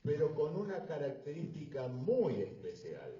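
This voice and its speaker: A man preaching a sermon in short phrases, pausing for his interpreter and rising to fervent emphasis. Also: on the high side, big pitch swings, dark tone. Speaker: visiting preacher. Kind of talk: sermon delivered through an interpreter. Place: church hall. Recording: roomy, distant, dull church recording.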